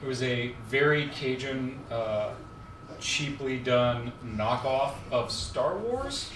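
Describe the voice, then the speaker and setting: A man's voice: mid-range voice, animated, slow, a bit bright. A man from Louisiana chatting casually in a hesitant, rambling way. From Louisiana, USA. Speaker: guest on a charity livestream. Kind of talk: casual chat. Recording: roomy, distant mic with clear background noise.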